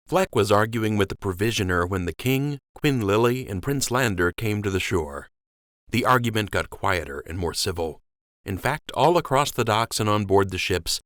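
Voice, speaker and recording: Expressive male storyteller, low and animated, dry studio read. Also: dry recording. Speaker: audiobook narrator. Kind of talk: scripted audiobook narration.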